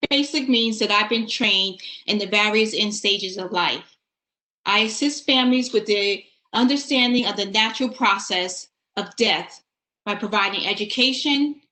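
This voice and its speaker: A woman end-of-life doula on a video call, giving composed, measured, heartfelt remarks about her work. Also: medium pitch, bright, forceful tone, reverberant. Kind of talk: prepared panel remarks.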